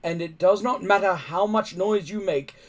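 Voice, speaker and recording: A man's voice: sits high, moderate pace, pitched above the performer's own voice, lightly acted. A man playing a bossy Viking chief who barks out orders emphatically in a storybook reading. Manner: commanding, emphatic shouting.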